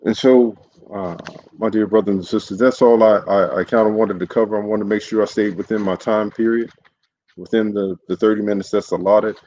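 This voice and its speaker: A man, an imam, giving a livestreamed sermon in an earnest, halting way, with many fillers and restarts. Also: on the low side, steady tone, darkish tone, dull audio. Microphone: solo livestream setup.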